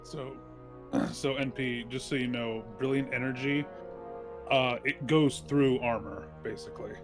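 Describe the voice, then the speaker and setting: A man's voice: medium pitch, fairly even intonation, a little slow, faint music behind. A man chatting casually and informally as a player in a tabletop game. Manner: informal, sweary.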